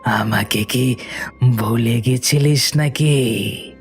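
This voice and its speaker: A man's voice: very slow, faint music behind. Man voicing a grating, menacing hungry tree ghost. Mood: menacing, accusing. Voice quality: shrill, grating. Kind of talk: cartoon horror voice acting.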